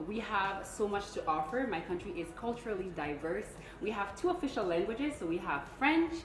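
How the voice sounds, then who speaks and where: A woman in her twenties from Canada, introducing herself as a pageant contestant on a live stream. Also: mid-range voice. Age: 20s. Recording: echoey room with faint noise.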